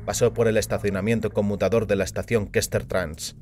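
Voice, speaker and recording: A man's voice: fairly low pitch, level tone, fairly quick, darkish tone. A man reading a short story aloud as a scripted audio fiction narrator.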